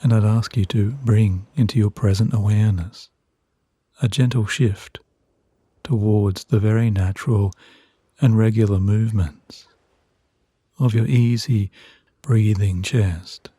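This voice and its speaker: A man narrating guided sleep hypnosis in a soothing, hushed, measured way with long pauses between phrases. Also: on the low side, fairly even intonation, husky, dry recording.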